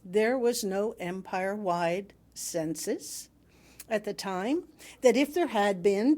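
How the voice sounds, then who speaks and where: A woman reading a sermon aloud to a congregation in a measured, emphatic way with wry humour. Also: on the high side. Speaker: reader delivering another minister's sermon.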